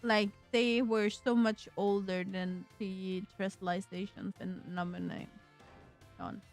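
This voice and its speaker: A woman streaming a video game who chats casually and reads the on-screen text aloud, slowly and haltingly, sometimes tripping over words. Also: medium pitch, flat delivery. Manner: slow, halting, trips over words while reading. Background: faint game music.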